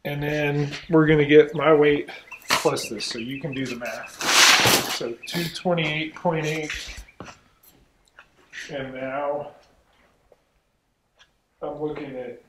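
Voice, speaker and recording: A man's voice: a little high, slow pace, pauses often, echoey room, noisy background. A young man chatting casually in a workshop, thinking aloud and restarting his sentences. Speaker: channel host. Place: open garage workshop.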